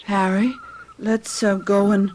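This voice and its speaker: An actress playing a hurt, timid, bewildered housewife in a radio drama, speaking hesitantly and plaintively. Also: female voice, medium pitch, level tone, slow pace, a little mellow, close to an everyday voice. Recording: noisy vintage radio drama tape.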